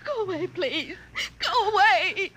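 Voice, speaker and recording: A woman's voice: very high-pitched, expressive, slow, heavily acted. An actress playing a terrified young woman in shock, sobbing and pleading in a 1950s radio drama. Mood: hysterical. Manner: screaming, sobbing pleas. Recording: noisy old radio broadcast.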